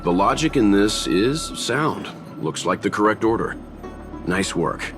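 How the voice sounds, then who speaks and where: A man playing a calm, analytical, courteous investigator in a video game, matter-of-fact in his delivery. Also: fairly low pitch, unhurried, music in the background. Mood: approving, analytical.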